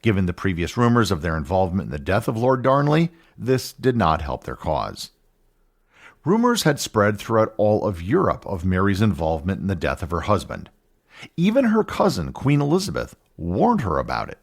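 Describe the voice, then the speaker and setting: A man hosting a history podcast, reading a scripted narration in a measured storytelling manner. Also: sing-song, vocal fry. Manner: measured storytelling with wide pitch swings. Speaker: podcast host.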